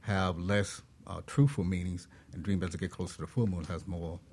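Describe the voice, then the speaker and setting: A man speaking as a guest expert, explaining ideas in a reflective, measured, philosophical manner. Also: low voice, steady tone, a little mellow.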